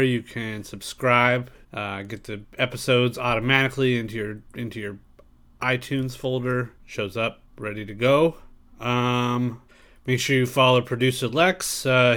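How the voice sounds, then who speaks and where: A man's voice: mid-range voice, a bit bright, smooth. A man hosting a podcast, talking in a loose, rambling way with lots of fillers and some swearing, his voice stuffed up and nasal from a cold. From San Diego area. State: congested, nasal. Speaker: podcast host. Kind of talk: casual podcast chat.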